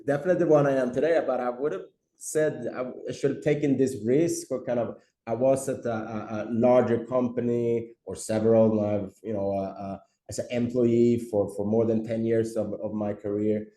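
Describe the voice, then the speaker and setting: A middle-aged man from Sweden, a startup CEO answering interview questions in a halting way full of fillers and repeated words. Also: mid-range voice, steady tone, dark tone, slight background noise. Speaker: startup CEO, podcast guest. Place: office. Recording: roomy echo on a call.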